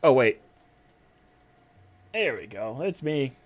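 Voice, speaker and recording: Slow, unhurried young man running a tabletop game. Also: medium pitch, big pitch swings, a little hiss or hum. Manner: unhurried, casual with frequent "uh" and "all right". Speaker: dungeon master. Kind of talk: game master reading a setting synopsis to a live stream.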